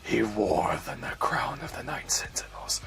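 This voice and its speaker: A man voicing an ominous narrator of a dark fantasy legend, reading gravely in a rough, breathy whisper. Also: mid-range voice, expressive. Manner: slow, grave, dramatic reading.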